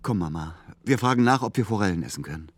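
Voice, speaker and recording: A man playing a patient, weary adult son in a radio play, both narrating and talking in a measured, dry manner. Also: lowish voice, lively intonation, dry recording. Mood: coaxing. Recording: clear background noise, outdoor ambience.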